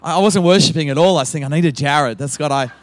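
A man's voice: fairly high pitch, very fast. A middle-aged man with an Australian accent, a guest pastor opening his sermon with playful teasing and frequent laughter.

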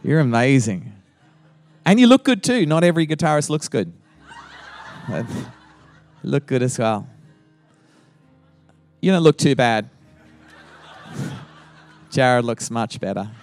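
A man's voice: mid-range voice, sing-song, takes it slowly, pauses often, a little mellow, soft background music. A middle-aged man with an Australian accent, a guest pastor opening his sermon with playful teasing and frequent laughter.

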